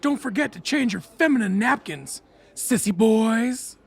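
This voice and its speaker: A man playing a cocky, foul-mouthed, taunting bully in an audiobook, sneering and jeering in a raspy voice. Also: very high-pitched, expressive, unhurried, full character voice. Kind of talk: audiobook character acting.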